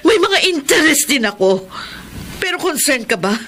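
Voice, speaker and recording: A woman's voice: high-pitched, big pitch swings, normal speed, sharp tone, slight background noise, exaggerated voice. A woman playing a neglected, unfaithful wife and mother, aggrieved and reproachful, in a melodrama. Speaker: actor in a drama. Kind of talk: melodramatic drama acting.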